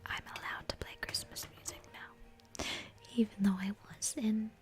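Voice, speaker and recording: A woman with a New Zealand accent, whispering a gentle, soothing ASMR travel narration at an unhurried pace. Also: mid-range voice, steady tone, faint music behind.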